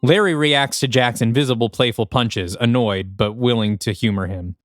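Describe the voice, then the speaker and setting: A man reading scripted screenplay narration for an audio drama in an animated, descriptive manner. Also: medium pitch, moderate pace, no room sound, clean studio sound.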